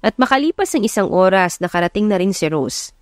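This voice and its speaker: A woman's voice: mid-range voice, lively intonation, fairly quick, no echo, studio quality, faint music behind. A woman with a Filipino accent reading scripted, dramatic story narration as an audiobook narrator. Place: close, dry recording with music behind.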